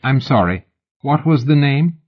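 A man reading an audiobook, voicing a mild, gray-haired older lady who speaks gently and courteously, on a dull lo-fi recording. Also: medium pitch, lively intonation, measured pace, slightly rough, pitched above the performer's own voice.

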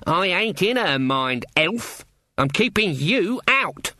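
A man's voice: sits high, very animated, slow pace, bright, forceful tone, no room sound, studio quality, pitched above the performer's own voice, exaggerated voice. A male narrator voicing a crabbed, stubborn old country witch with blunt rustic speech in an audiobook. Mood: defiant. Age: older.

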